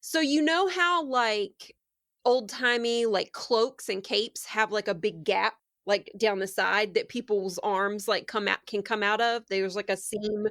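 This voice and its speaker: A woman's voice: medium pitch, a little slow, fairly bright tone, dry recording. Woman chatting casually, dry close sound. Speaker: player in a tabletop game podcast. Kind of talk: casual chat.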